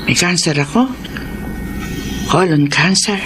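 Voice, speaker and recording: A woman's voice: lowish voice, slow pace, background noise. An actress playing a bitter, haughty, wealthy unmarried woman in a radio drama, with a reproachful, imperious delivery. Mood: stunned. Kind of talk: Tagalog radio drama acting.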